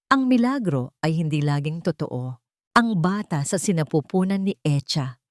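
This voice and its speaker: A woman with a Filipino accent narrating a scripted dramatic story in a measured, solemn way, in short phrases. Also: low voice, lively intonation, no room sound, studio quality.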